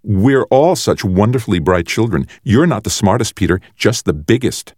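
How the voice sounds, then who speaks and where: A man voicing a bright, defiant young sister in an audiobook, sharp-tongued and accusing as she stands up to a bully. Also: fairly low pitch, animated, normal speed, dry recording, clean studio sound, pitched above the performer's own voice. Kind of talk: audiobook character voice.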